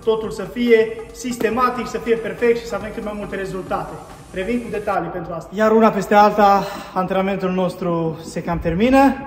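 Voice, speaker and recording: Young male trainer, high voice, pitching to viewers, long gym reverb. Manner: upbeat, persuasive, addressing viewers directly. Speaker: fitness vlogger and trainer. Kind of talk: casual vlog talk to camera. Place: large gym indoors. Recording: echoey gym, voice from a distance.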